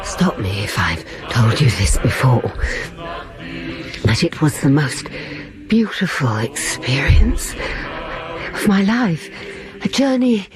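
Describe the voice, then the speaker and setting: An actor playing an enraptured, nostalgic storyteller in a theatrical radio drama. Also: male voice, fairly high pitch, sing-song, takes it slowly, over music. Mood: rapturous.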